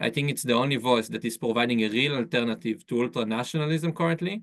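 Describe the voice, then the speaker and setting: A young man delivers a candidate's speech over a video call at a rapid clip. His delivery is earnest and urgent. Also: medium pitch, a bit bright. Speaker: election candidate.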